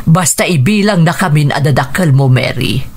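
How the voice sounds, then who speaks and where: A woman's voice: sits low, animated, normal speed, a little hiss or hum. A woman playing a kindly, motherly middle-aged aunt in an Ilocano radio drama. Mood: warm, caring.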